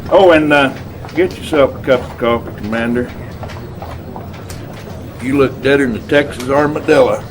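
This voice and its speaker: An actor playing a folksy, gruff, confident American army general in a game cutscene, talking informally. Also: male voice, medium pitch, noisy background, natural voice. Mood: wry, briefing. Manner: folksy, informal, drawn-out hesitations. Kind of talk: dramatic game cutscene acting.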